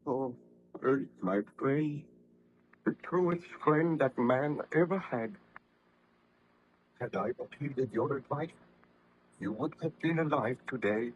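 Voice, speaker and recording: A man's voice: medium pitch, takes it slowly, pauses often, a little hiss or hum, lightly acted. An actor playing an anguished, guilt-ridden nobleman scientist in an old-time radio horror drama, speaking in formal, fervent melodrama. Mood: grieving, penitent. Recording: vintage radio drama recording, slightly muffled.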